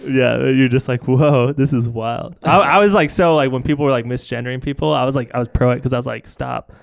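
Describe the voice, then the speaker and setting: A man's voice: mid-range voice, a little fast, gravelly, clear, resonant tone, no echo, studio quality. An American man hosting a podcast, chatting casually in a slangy, wry way with some swearing. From Arizona, United States. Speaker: podcast host. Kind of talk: casual interview chat.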